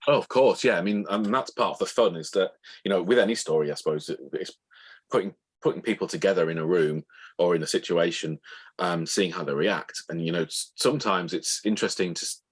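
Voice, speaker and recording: Male author guest, low husky register, halting and thoughtful explanations, conversational interview delivery.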